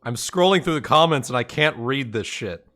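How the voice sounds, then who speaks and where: A man's voice: medium pitch, animated, normal speed, fairly bright tone. A man chatting casually with a group on a livestream, swearing freely. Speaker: game master. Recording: music playing behind the voice. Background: music.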